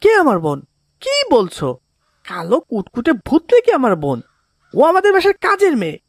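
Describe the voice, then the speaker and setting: A woman's voice: on the high side, wildly swooping pitch, brisk, vocal fry, breathy edge, dry recording, heavily acted. A woman voicing a scornful young schoolboy, a rude older brother, in a children's cartoon. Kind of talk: children's moral cartoon voice acting.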